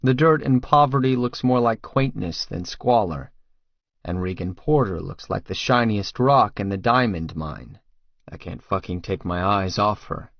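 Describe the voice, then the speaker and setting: A man's voice: lowish voice, no echo, dull audio, full character voice. A man narrating first-person fiction as a tough, crude, brooding hitman, terse and guarded. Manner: terse, hard-boiled, profane. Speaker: audiobook narrator.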